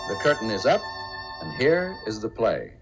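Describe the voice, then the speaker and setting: A man's voice: on the low side, huge pitch swings, moderate pace, lo-fi sound. A man hosting and narrating a radio theater in a formal 1950s broadcast style. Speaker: theater director and host. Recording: vintage radio broadcast, faint music.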